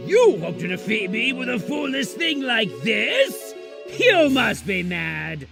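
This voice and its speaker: An actor voicing an arrogant mad scientist villain, theatrical, gloating and menacing, in a comic book dub. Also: male voice, very high voice, huge pitch swings, slow, gravelly, exaggerated voice. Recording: music behind the voice.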